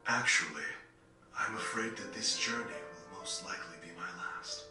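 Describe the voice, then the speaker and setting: A man acts out a soft-spoken, weary traveller from a game cutscene, his voice on the low side and pensive. He sounds hesitant and polite, far from the mic in heavy echo. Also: moderate pace, lightly acted. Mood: resigned. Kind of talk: video game cutscene acting. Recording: very echoey, distant, music behind.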